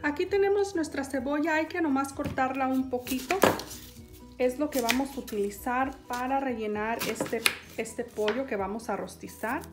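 A woman hosting a home cooking show, calmly walking viewers through each step. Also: high-pitched, moderate pace, over loud music. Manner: calm step-by-step instruction, addressing viewers.

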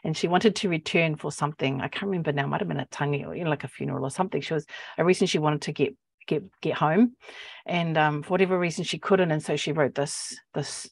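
A woman in her forties with a New Zealand accent, talking reflectively and haltingly as a poet on a panel, searching for words. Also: sits low, fairly even intonation, gravelly. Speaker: poet and academic. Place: book-lined office, indoors. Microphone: earphone mic on video call.

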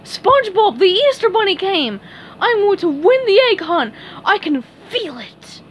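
A woman acts out a boisterous, childishly eager male cartoon starfish. The voice is loud and high with big swoops, told storybook style over faint noise. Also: sing-song, a little slow, heavily acted. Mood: excited, boastful.

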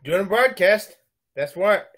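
Middle-aged man, from the United States, high voice, webcam livestream, chatty, thanks viewers. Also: expressive, unhurried, fairly bright tone. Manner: chatty, thanks viewers for likes between remarks. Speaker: livestream host. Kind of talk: casual live broadcast chat. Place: bedroom at night, indoors.

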